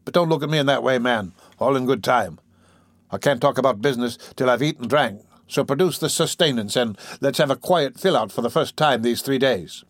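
A man's voice: mid-range voice, brisk, no room sound, clean studio sound, close to an everyday voice. A man voicing a swaggering, easygoing housebreaker in a storybook, with cocky, offhand, slangy talk.

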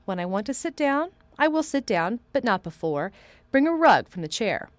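A woman voicing a proud, imperious sickly boy who speaks in sharp, commanding tones, as she reads a storybook aloud. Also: on the high side, sing-song, normal speed, dry recording, pitched above the performer's own voice. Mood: determined.

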